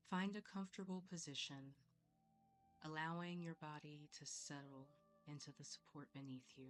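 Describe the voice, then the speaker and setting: A woman leading a guided meditation in a soothing, measured way, leaving long pauses between phrases. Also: low-pitched. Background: soft background music.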